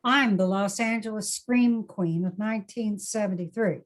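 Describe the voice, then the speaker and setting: A woman's voice: mid-range voice, moderate pace, close to an everyday voice. Woman as a boastful aging scream queen. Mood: boastful menace. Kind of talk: drama table read.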